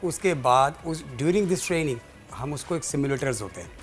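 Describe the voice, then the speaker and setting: A man with a Pakistani accent answering as an interview guest, explaining in a halting, deliberate way and switching between Urdu and English. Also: medium pitch, lively intonation, soft background music. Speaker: guest, president of an air traffic controllers' guild.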